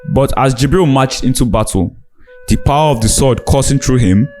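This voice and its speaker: A man's voice: medium pitch, moderate pace, a little mellow. A man narrating a scripted dramatic folktale as a storyteller. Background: background music.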